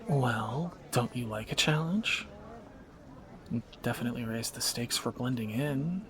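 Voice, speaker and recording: A man's voice: medium pitch, a little slow, a crowd in the background, close to an everyday voice. A man playing a wry, flirtatious thief on the run in a romantic audio drama, speaking in teasing, sardonic banter to a companion.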